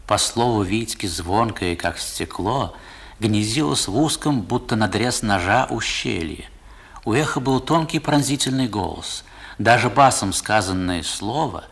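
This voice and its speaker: Wistful literary narrator, lowish husky voice, expressive measured storytelling, vintage radio recording. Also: male voice, exaggerated voice. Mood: wonder. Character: wistful male narrator recalling boyhood. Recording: old 1960s radio recording.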